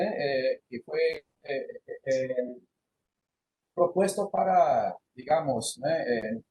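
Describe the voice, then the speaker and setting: A man's voice: high voice, darkish tone, slight background noise, poor audio. A man, giving an online university lecture with many fillers and restarts, slipping into Portuguese words. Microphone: computer microphone on a video call.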